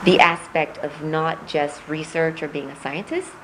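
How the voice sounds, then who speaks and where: A middle-aged woman from Puerto Rico, raised in Missouri, answering interview questions as a political candidate, measured and reflective with frequent pauses. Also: low-pitched, steady tone, fairly bright tone, slight background noise, rough recording.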